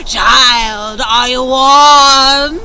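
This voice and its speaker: A woman voicing an old male winter spirit from a storybook, calling out in slow, drawn-out words. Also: high voice, fairly even intonation, bright, forceful tone, a little hiss or hum, full character voice. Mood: calling slowly.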